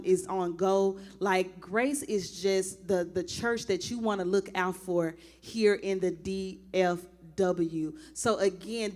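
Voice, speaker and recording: A woman co-hosting a casual church chat, enthusiastic and affirming. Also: mid-range voice. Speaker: church co-host.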